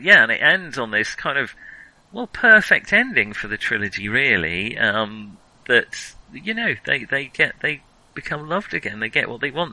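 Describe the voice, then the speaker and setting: A man co-hosting a casual film discussion, talking in a wry, animated, emphatic way. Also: medium pitch, rough recording. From Europe. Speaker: podcast co-host.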